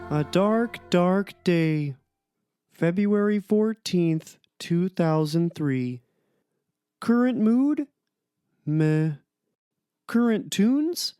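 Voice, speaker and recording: A reader giving a drawn-out, gloomy dramatic reading of a journal. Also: mid-range voice, lively intonation, mellow, clear, resonant tone, dry recording, clean studio sound. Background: background music.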